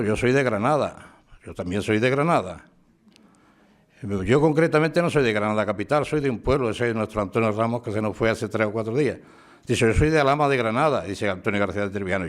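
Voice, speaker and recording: Chatty man telling a story. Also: medium pitch. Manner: chatty storyteller, acts out dialogue. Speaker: speaker giving biographical sketch. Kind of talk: informal public talk at a tribute. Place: town hall event room.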